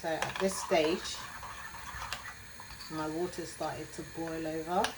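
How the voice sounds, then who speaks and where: Woman, Jamaican accent, lowish voice, chatty home-cooking tutorial, kitchen background noise. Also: steady tone, slow. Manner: chatty, adds "yeah?" after most phrases. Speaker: home cook.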